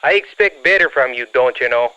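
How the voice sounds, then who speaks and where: An actor playing a smug, folksy, faintly menacing heckler, calling a radio show. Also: male voice, medium pitch, lively intonation, a little fast, pushed and bright, slight background noise. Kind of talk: acted radio call-in. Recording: muffled caller line.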